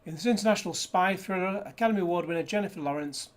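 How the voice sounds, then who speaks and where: A man's voice: high-pitched, rapid-fire. A man chatting casually through a disc unboxing, rambling with jokey asides, recorded indoors on a desk camera. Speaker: disc reviewer.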